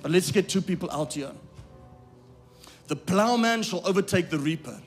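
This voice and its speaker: A man preaching a live sermon to a congregation. He swings from quiet asides to loud, shouted lines, repeats his phrases, checks that the crowd follows, and is losing his voice. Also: sits high, lively intonation, normal speed, soft background music. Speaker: pastor.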